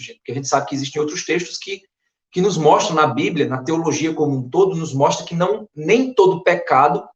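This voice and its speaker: Man, pastor, Brazilian Northeastern accent, hoarse throat, emphatic sermon, fairly high voice. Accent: Brazilian Portuguese, Northeast. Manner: earnest, emphatic preaching with rising stresses. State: hoarse, recovering from flu. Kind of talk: expository Bible sermon. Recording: echoey room.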